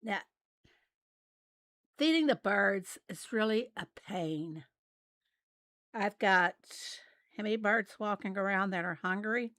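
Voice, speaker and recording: Slow-talking raspy woman livestreamer. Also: mid-range voice, fairly bright tone, no echo. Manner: unhurried, chatty, reads out and answers viewer messages. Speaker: game livestreamer. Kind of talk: casual livestream chat while playing.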